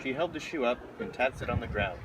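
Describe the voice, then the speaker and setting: A man's voice: mid-range voice, lively intonation, fast. A man reading a children's picture book aloud, recorded outdoors. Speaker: storybook reader. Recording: noisy outdoor recording with traffic.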